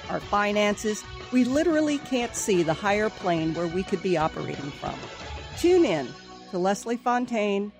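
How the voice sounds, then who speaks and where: A woman radio host reading a scripted promo for a show of her own. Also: mid-range voice. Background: music.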